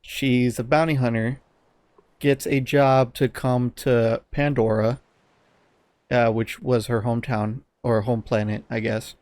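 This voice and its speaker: A man's voice: mid-range voice, level tone, measured pace, darkish tone, no room sound. A man co-hosting a casual podcast, giving blunt opinions with some swearing and often searching for words. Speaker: podcast co-host.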